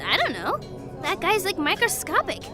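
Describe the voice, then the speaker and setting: A high-voiced fox girl, anxious, whiny and hopelessly lovestruck. She talks in casual youthful phrases, in a mythological audio drama with clear music behind the dialogue. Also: wildly swooping pitch, normal speed, heavily acted. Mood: sulky.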